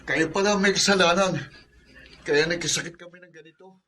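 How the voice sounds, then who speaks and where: A young Filipino son in a radio drama, bitter and defiant. The voice is very high for a male and bright, blunt in delivery, with faint noise behind it. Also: normal speed. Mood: bitter, accusing. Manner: resentful, blunt. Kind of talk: Tagalog radio drama acting.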